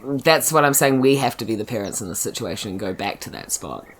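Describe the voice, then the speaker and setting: A man's voice: mid-range voice, animated, fast talker, crowd noise. A man, chatting casually in character as a player in a tabletop game.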